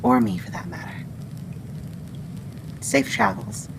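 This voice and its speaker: A woman playing a friendly but fiercely protective female wendigo, speaking haltingly and deliberately in an ASMR roleplay audio drama. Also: vocal fry, heavily acted. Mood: gentle reassurance. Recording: noisy low background ambience.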